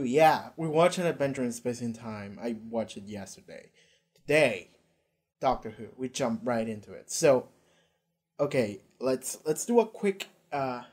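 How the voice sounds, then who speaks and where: A man's voice: medium pitch, darkish tone. A young man from Argentina with an Argentinian accent, rambling casually and swearing as he reacts to a show, recorded on a headset in a small bedroom. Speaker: YouTube reactor.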